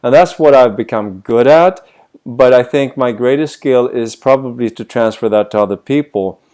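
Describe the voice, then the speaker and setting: A man's voice: mid-range voice, normal speed, darkish tone. A middle-aged man from Sweden, a voice coach answering interview questions thoughtfully over a video call and posing many rhetorical questions.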